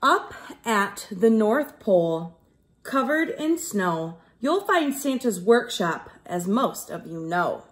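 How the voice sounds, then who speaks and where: A woman teacher reading aloud to young children in an expressive storytelling style, explaining things simply. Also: mid-range voice, takes it slowly.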